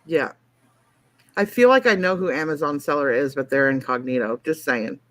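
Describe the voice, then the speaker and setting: A woman's voice: low-pitched, moderate pace, no room sound. A woman hosting a casual late-night livestream, chatty, telling stories and reacting to chat. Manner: chatty storyteller, reads out chat comments. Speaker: livestream host.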